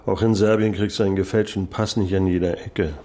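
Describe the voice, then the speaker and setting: An actor playing a blunt, cynical police detective with coarse, sardonic remarks. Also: male voice, low-pitched, little pitch movement, a little fast, warm tone, lightly acted. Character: gruff, cynical male police detective colleague. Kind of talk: radio drama acting.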